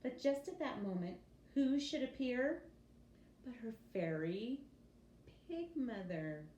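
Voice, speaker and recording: Woman reading a storybook, animated, roomy echo. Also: a little high, slow pace. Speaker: teacher reading to young children. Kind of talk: expressive children's storybook read-aloud. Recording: roomy echo with faint background noise.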